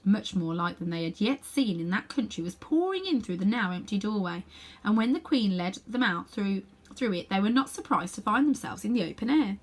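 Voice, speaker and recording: A woman narrating a children's story aloud in an expressive storytelling style. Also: mid-range voice, brisk. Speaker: storybook reader.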